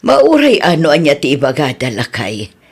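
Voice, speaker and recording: Animated Ilocano auntie played by an actress. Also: female voice, deep voice, normal speed, husky, exaggerated voice. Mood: casual, chatty. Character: middle-aged village auntie, chatty and nosy. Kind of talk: Filipino radio comedy drama acting.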